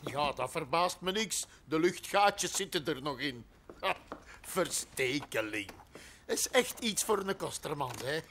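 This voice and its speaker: A man's voice: fairly high pitch, expressive, slow, a bit bright, slight background noise. A man voicing a mocking, suspicious middle-aged Flemish husband, sardonic and grumbling, in a comic book reading. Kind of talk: comic book read aloud in voices.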